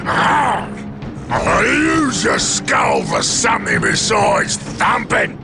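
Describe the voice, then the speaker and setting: An actor playing a huge, brutish orc warboss in a video game cutscene, boastful and aggressive, with a guttural growl and crude barked grammar. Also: male voice, sits high, huge pitch swings, drawn-out words, vocal fry, exaggerated voice. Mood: rousing, scornful. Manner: crude broken-grammar barking. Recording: loud music behind the voice. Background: loud music.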